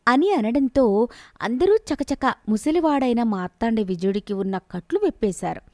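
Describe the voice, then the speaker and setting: A woman narrating a scripted bedtime fantasy story in a steady, expressive storytelling manner. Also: fairly high pitch, normal speed, no room sound, clean studio sound.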